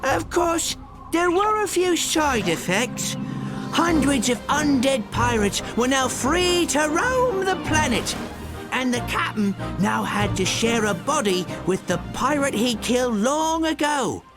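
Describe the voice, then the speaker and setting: Shrill pirate robot sidekick, jolly, sly, theatrical, slow storyteller, loud music behind. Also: male voice, animated, heavily acted. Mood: grandiose narrating. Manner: hammy, cackling pirate talk.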